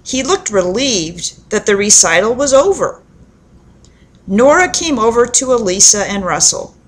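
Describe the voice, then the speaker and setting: A woman telling a children's story aloud in an expressive, deliberate storybook manner, with dramatic pauses. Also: on the high side.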